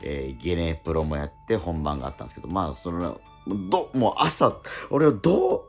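A man co-hosting a radio show in casual chat, telling stories excitably with repeated emphatic words. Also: low voice, fairly quick, gravelly, faint music behind. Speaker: radio show co-host and stage actor. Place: recorded radio programme.

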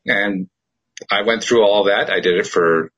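A man's voice: fairly low pitch, a little slow, fairly bright tone, a little hiss or hum, poor audio. A middle-aged man, a podcast host, talking earnestly and reflectively in a casual conversation.

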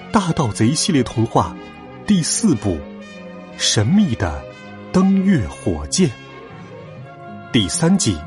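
A man hosting and narrating children's stories in an expressive style. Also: mid-range voice. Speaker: children's storyteller, show host.

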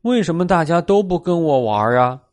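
A man's voice: fairly high pitch, expressive, moderate pace, a little mellow, pitched above the performer's own voice, natural voice. A man voicing a playful, impatient little kitten in a children's storybook, pleading and repeating simple phrases. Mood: upset.

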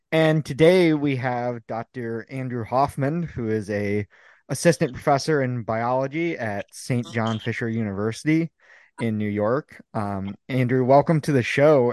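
A man's voice: medium pitch, darkish tone, no room sound. Man co-host, dry close sound. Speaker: podcast co-host. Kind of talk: casual interview hosting.

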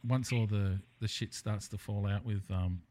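A man's voice: fairly low pitch, steady tone, a little slow, mellow, a little hiss or hum. A man hosting a casual podcast chat, talking in a rambling, hesitant way that often trails off mid-thought. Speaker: podcast host. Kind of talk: casual conversational podcast interview.

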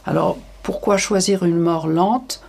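An older woman giving frank, hesitant interview answers, with many pauses and fillers. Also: on the low side, vocal fry, darkish tone.

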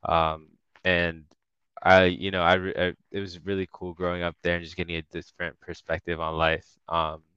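A man's voice: deep voice, little pitch movement, normal speed, pushed and bright, smooth, no room sound, highs cut off. A young man from the Washington, D.C. area, a guest rapper talking casually in a podcast interview, laid-back, with frequent laughs and fillers. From Washington, D.C. area, USA.

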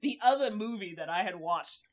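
A woman's voice: mid-range voice, expressive, a little fast. A young woman co-hosts a podcast in loose, rambling chat. She laughs often and swears freely as she talks. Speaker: podcast co-host.

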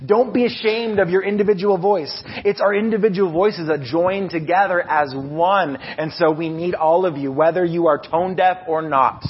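A man with a loud voice preaching a casual, animated sermon, restarting his sentences often and poking fun at himself. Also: high-pitched, a little slow, slightly rough, dull audio. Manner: animated, self-deprecating, many restarts. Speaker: preacher and music leader. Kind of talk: conversational sermon.

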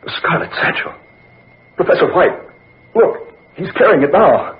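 A man's voice: a little high, big pitch swings, measured pace. An actor playing a guilt-ridden, terrified man in 1940s radio horror style, agitated and melodramatic. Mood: fearful. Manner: agitated, melodramatic, repeats himself in dread. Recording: muffled vintage radio recording.

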